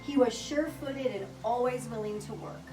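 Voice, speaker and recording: A woman reading a children's picture book aloud with expressive, deliberate storytelling delivery. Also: a little high. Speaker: storybook reader. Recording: roomy echo, distant mic, music behind.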